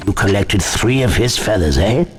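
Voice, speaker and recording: An actor playing a kindly, avuncular shopkeeper in a video game, giving advice in a measured way. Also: male voice, on the low side, expressive, faint music behind, lightly acted. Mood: kindly, advising. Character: kindly, advising male shopkeeper with forgotten clutter. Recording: noisy background with clicks.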